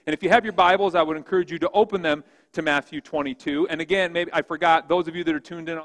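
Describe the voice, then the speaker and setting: A man preaching a sermon as pastor, with a big voice that swings from loud emphasis to quiet, hushed reading. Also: a little high, fairly even intonation, fast talker, long reverb tail. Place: church sanctuary.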